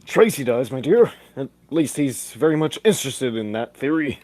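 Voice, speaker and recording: A man acting a pompous, excitable old-fashioned gentleman sidekick in a 1940s radio play, with flowery exclamations. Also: medium pitch, normal speed. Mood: astonished.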